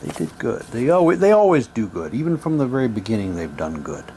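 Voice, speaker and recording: Male hobbyist presenter, medium pitch, informal show-and-tell and read-aloud delivery, some background noise. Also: sing-song, fast talker, warm tone. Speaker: presenter showing audio drama props. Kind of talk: casual show-and-tell, reading prop documents aloud.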